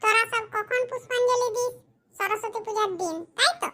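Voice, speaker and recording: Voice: very high-pitched, fairly even intonation, a little fast, pushed and bright. A performer voicing a cheeky, boastful schoolchild in a comedy cartoon, talking in a cocky, argumentative, colloquial way. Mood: smug, boastful. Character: cheeky, boastful Bengali schoolchild, dim but sly.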